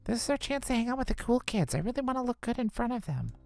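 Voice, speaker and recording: A woman's voice: fairly high pitch, sing-song, fast talker, creaky, darkish tone, no echo, faint music behind. A woman voicing an impatient tween girl who is eager to fit in, coaxing and dismissive in a visual novel game. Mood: pleading, coaxing.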